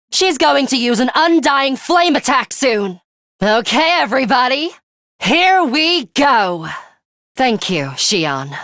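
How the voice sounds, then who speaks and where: A woman voicing a composed, battle-hardened young woman in an English video game, speaking in a deliberate, matter-of-fact way. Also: medium pitch, no room sound, studio quality. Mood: commanding, then calm, matter-of-fact. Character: young woman, composed battle-hardened time-looper, coolly urgent ally.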